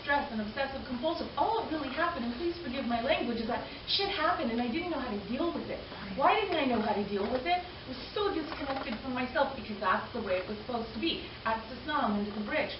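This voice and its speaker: A woman in her forties from Canada talks earnestly to a gathering in a slightly high voice. She repeats phrases for emphasis. She sounds distant in an echoey room, with a dull recording and noise behind her. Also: lively intonation, fast, few pauses. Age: 40s. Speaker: panel speaker. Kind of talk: personal talk to a gathering. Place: community arts event hall.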